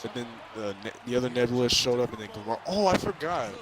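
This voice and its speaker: A young man casually recapping a movie in an excitable, rambling, hesitant way. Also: medium pitch, fast. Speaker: vlogger reviewing a film. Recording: crowd chatter, bouncing basketball sounds behind.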